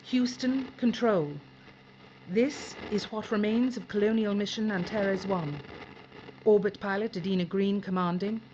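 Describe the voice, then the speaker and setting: A woman playing a weary, determined spaceship pilot and commander in a science fiction audio drama, brisk and businesslike with a wry edge. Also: mid-range voice, steady tone, darkish tone, muffled recording, slight background noise, natural voice. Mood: urgent, formal.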